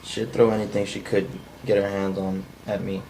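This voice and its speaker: A man's voice: on the low side, normal speed, slight background noise, close to an everyday voice, rough recording. A man reading the part of a menacing, bitter drifter in an unpolished script table read. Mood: bitter, resentful. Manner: flat, unpolished amateur line reading. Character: menacing, bitter, down-on-his-luck male drifter intruder.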